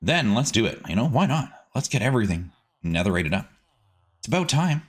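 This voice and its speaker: A man's voice: mid-range voice, sing-song, fairly quick, husky. A man hosting a casual gaming video, chatting to himself playfully as he plays, with silly words and sing-song asides. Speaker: gaming host. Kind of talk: casual game commentary, let's play.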